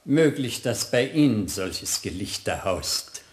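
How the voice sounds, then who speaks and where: A man's voice: on the low side, animated, slow pace. A male actor in a radio play as a dignified, snobbish manservant who speaks in a formal, stilted way. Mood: haughty. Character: stiff, dignified manservant of a noble house, snobbish. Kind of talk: German radio play acting.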